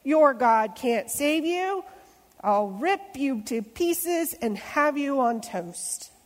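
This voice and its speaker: A woman's voice: high-pitched, lively intonation, slow pace, in a large hall. A woman voicing a huge, cruel male giant warrior who bellows mocking threats in a storybook reading.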